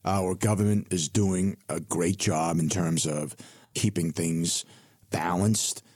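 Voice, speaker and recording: A man with a lowish voice co-hosts a retirement radio show and gives money advice in a conversational, reassuring way. He leans hard on the key numbers as he explains them. The sound is close and dry. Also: steady tone, slow, darkish tone, slightly rough, dry recording. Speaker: financial advisor co-host. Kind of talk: radio finance talk.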